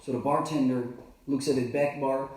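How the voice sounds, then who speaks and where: Halting bartender in an echoey kitchen. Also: male voice, medium pitch, steady tone, a little mellow, far from the mic, a little hiss or hum. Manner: halting, many fillers and restarts. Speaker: bartender and cocktail trainer. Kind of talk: casual live demonstration. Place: home kitchen. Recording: very echoey, from off the mic.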